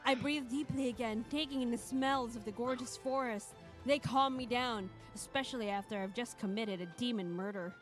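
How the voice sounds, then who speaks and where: Higher-pitched woman streamer narrating theatrically. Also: moderate pace, faint music behind. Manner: theatrical, playful, breaks off for asides. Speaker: streamer reading the heroine and narration of a visual novel. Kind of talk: dramatic first-person narration mixed with casual banter.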